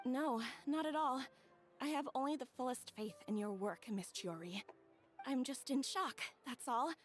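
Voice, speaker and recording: An actress voicing a young bride-to-be in a video game, polite and emotional, higher-pitched than most women. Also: female voice, normal speed, faint music behind, close to an everyday voice. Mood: grateful. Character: young bride-to-be woman, grateful and overjoyed.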